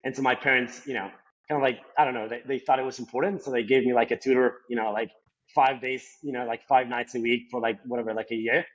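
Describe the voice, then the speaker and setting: A young man from Colombia talks quickly, his Colombian accent showing through a native Spanish speaker's English. He rambles casually, stacking fillers, at a mid-range pitch. The recording sounds slightly dull and lo-fi. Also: steady tone. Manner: rambling, heavy on "like" and "you know" fillers. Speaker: podcast guest. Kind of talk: casual interview talk.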